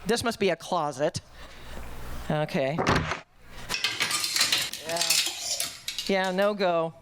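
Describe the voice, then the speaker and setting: A woman's voice: lowish voice, drawn-out words, heavy background noise. A woman acting a wisecracking, sardonic young woman in an old-time radio comedy, with exasperated dry sarcasm. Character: sardonic, wisecracking young woman, good-sport gal pal.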